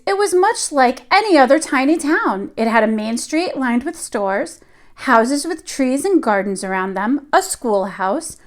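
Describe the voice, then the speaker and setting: A woman reading a picture book aloud like a teacher at storytime, expressive and deliberate for young children. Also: sits high.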